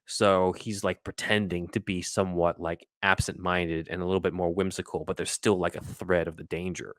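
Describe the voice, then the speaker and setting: A man's voice: low-pitched, little pitch movement, normal speed, fairly bright tone, no room sound, studio quality. A man co-hosting a podcast, chatting casually and enthusiastically in a rambling, fan-like way about pop culture. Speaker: podcast co-host.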